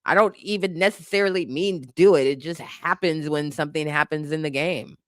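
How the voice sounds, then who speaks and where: The sassy, opinionated voice you would hear on a casual livestream, answering the chat in a colloquial way from a dry room, at a middling pitch. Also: brisk, a bit bright, no room sound. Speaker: livestream host chatting with viewers. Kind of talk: casual unscripted chat, reacting to comments.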